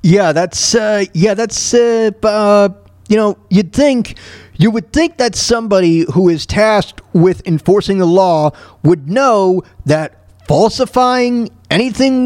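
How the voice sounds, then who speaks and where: An American man with a fairly high voice that swings around a lot, recorded very dry. He hosts a casual talk show, rambling through commentary and often stammering and repeating himself as he goes. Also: expressive, a little mellow, dry recording. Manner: halting, stammering, repeats words and phrases. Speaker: solo host of a talk show.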